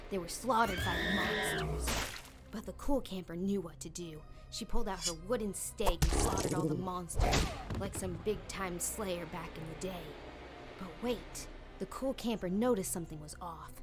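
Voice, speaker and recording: A woman's voice: medium pitch, close to an everyday voice. A woman playing a dramatic young camper in a campfire audio drama, with an emphatic, theatrical way of talking. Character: dramatic young girl camper telling a spooky tale.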